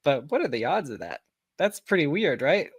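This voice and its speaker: A man chatting casually as a podcast guest, telling anecdotes in an animated manner over a close mic. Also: a little high, normal speed, husky, dry recording.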